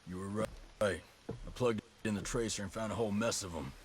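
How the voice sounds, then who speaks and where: Low-voiced, steady special forces soldier played by a man. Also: brisk, slight background noise, lightly acted. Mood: steady, reassuring. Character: gruff, confident male special forces soldier, steady and protective. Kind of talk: video game cutscene acting.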